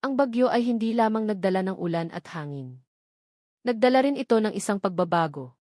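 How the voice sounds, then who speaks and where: A woman reading a scripted dramatic story in measured, deliberate short phrases. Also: mid-range voice, a little mellow, no echo, clean studio sound. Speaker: audiobook narrator.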